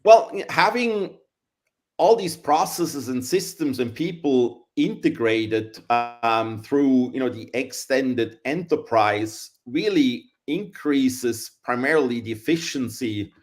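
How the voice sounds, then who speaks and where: Guy, middle-aged, talks slow and careful with lots of ums, regular-pitched voice. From Switzerland. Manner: measured, hesitant, many fillers and restarts. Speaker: guest, engineering consultant. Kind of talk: conversational interview answers.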